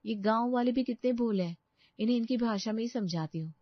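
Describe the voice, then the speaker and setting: A woman's voice: fairly high pitch, brisk, no room sound, dull audio, close to an everyday voice. A woman playing a composed, practical young daughter-in-law who speaks politely to elders in a Hindi cartoon story. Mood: explaining.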